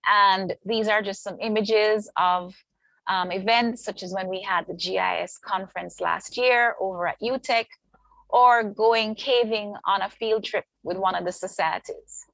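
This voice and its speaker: Gravelly woman lecturer. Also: medium pitch, steady tone, fairly bright tone, no room sound, rough recording. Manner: measured, list-like delivery with frequent ums. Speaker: university lecturer presenting her department. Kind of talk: online orientation presentation. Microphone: video call.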